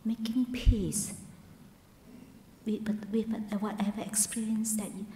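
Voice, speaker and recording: Female meditation guide: very gentle and breathy, slow delivery, recorded in an echoey hall with faint noise. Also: medium pitch, steady tone. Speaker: meditation teacher, Buddhist nun. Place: large meditation hall at a Buddhist centre. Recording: roomy hall reverb, faint background noise.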